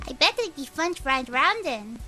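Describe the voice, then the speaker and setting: A woman's voice: high voice, sing-song, brisk, pushed and bright, faint music behind, exaggerated voice. A woman voicing a cheerful, playful, girlish young assistant in a game fandub, with a bubbly manner. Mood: playful, excited. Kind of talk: scripted game fandub acting.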